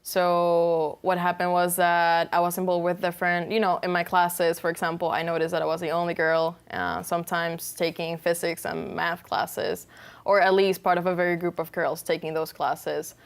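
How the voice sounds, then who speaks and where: A woman's voice: on the low side, flat delivery, normal speed, clear, resonant tone. A young woman from Venezuela, a student guest answering interview questions earnestly, with many fillers and restarts. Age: young adult.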